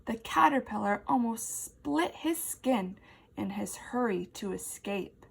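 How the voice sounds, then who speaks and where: A woman teacher reads a story to young children in a high, very animated voice. She takes her time and speaks expressively, addressing the listeners directly. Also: takes it slowly, gravelly, breathy edge, poor audio. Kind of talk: read-aloud children's story with questions.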